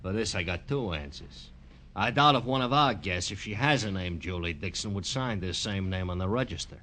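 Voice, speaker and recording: Swooping, sardonic flophouse desk clerk. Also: male voice, deep voice, lively intonation, normal speed, bright, forceful tone, slight background noise. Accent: American. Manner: sarcastic, mock-formal, streetwise. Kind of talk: 1950s radio crime drama acting.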